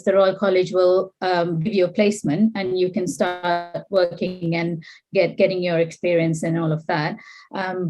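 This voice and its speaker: A woman, an obstetrics doctor on a panel, explaining slowly with many pauses and ums. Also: lowish voice, dark tone, low-quality recording. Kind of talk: online panel discussion.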